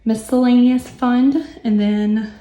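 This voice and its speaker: Young woman, lilt, hoarse, rambling and chatty; roomy echo. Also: fairly high pitch, flat delivery, slow, dark tone, a little hiss or hum. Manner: chatty with many ums and asides. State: hoarse, recovering lost voice. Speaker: budgeting vlogger. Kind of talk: casual chat while working on paper.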